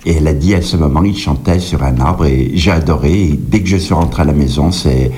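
Deep mellow-voiced man, hesitant storyteller. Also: fairly quick, slight background noise. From Kabylie, Algeria. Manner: hesitant, repeats words and restarts. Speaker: singer-songwriter guest. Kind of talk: relaxed interview answers, anecdotal.

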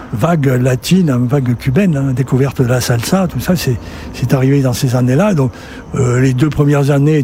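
A man's voice: medium pitch, creaky, dark tone, a little hiss or hum. An older man from southern France, a festival director telling his story conversationally in an interview, rambling and repeating words as he goes. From Sète, southern France. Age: 60s or older.

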